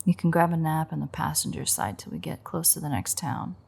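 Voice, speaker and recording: A woman playing a playful, teasing vampire with dark predatory confidence in an intimate, sardonic audio roleplay, her voice husky and breathy. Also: low-pitched, expressive, brisk, exaggerated voice. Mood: playful, coaxing. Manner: teasing, sardonic, swearing banter.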